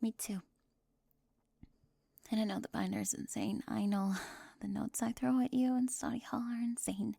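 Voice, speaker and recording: A woman plays a bossy teenage bully with a secret crush in an ASMR roleplay. Her voice is high and creaky, slipping into whispers, recorded close and dry. She talks in fussy, emphatic bursts, flustered and tightly organised. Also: little pitch movement, normal speed, mellow, no echo, heavily acted. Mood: vulnerable, anxious.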